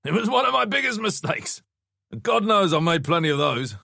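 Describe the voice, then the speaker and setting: A man's voice: sits high, very animated, normal speed, vocal fry, bright, forceful tone, dry recording, studio quality, voice pushed up, full character voice. A man voicing a brash, raffish rich man in his fifties, breezy, boastful and bluntly scornful, in an audiobook story. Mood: amused scorn.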